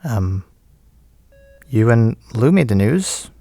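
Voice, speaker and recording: An actor playing a friendly, teasing friend with a hesitant, chatty manner in a naturalistic audio drama. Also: male voice, medium pitch, big pitch swings, slow pace, mellow, no echo, heavily acted. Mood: friendly, nervous, teasing. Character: friendly, teasing male friend leaving a recorded message.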